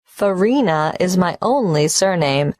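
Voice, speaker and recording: A polite, cooperative young woman applicant who answers each question carefully. She speaks slowly and clearly, in a dry studio recording. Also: fairly low pitch, lively intonation, a little mellow, no room sound. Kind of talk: scripted exam listening dialogue.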